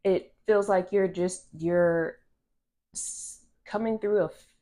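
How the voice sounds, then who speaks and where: A low-pitched woman with a congested, nasal voice reads tarot cards straight into her phone camera. She talks casually and rambles, restarting phrases and leaning on "like" as she feels her way through each card. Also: steady tone, takes it slowly, warm tone. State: stuffy nose from allergies. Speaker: tarot reader.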